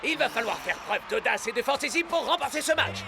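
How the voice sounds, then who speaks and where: A cartoon match commentator with a very high, excitable voice. He calls the game dramatically and leans hard on his words, over a clear music bed. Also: fast, crowd noise, heavily acted. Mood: excited. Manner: dramatic, emphatic delivery. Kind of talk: live sports commentary. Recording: music under the voice.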